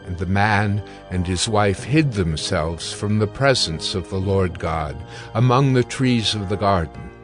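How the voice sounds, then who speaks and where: Slow, low-voiced male scripture narrator. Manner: slow, steady, deliberate reading. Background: music.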